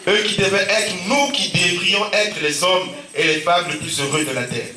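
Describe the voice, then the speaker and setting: A pastor with a Central African French accent preaches with a sore, stuffy throat, repeatedly calling out amen and alleluia. His voice is bright and fairly high for a man. He is heard through a roomy church, picked up from some distance away. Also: moderate pace. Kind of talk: sermon. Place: church hall.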